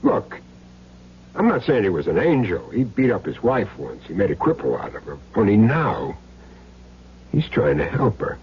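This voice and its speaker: A low, swooping American male voice as a cagey hired gun making his confession. He talks in plain street slang, earnest and self-justifying. It sounds like an old radio crime play, dull and noisy with hum. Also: wildly swooping pitch, moderate pace. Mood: insistent, pleading. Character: cagey small-time hired gun confessing, insisting he's clean. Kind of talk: old-time radio crime drama acting.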